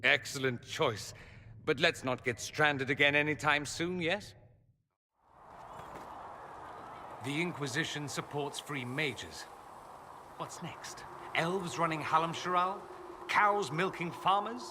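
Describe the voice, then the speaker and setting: A man's voice: fairly high pitch, measured pace, background noise, natural voice. A man playing a flamboyant, self-assured mage in a video game, with an arch, sardonic, theatrical delivery. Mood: playful. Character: witty, flamboyant, self-assured male mage from a decadent empire. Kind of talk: video game dialogue acting.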